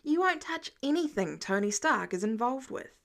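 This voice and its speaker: A woman's voice: on the high side, big pitch swings, moderate pace, a bit bright. Woman voicing a nervous, hesitant teenage boy. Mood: skeptical. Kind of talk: audiobook character dialogue.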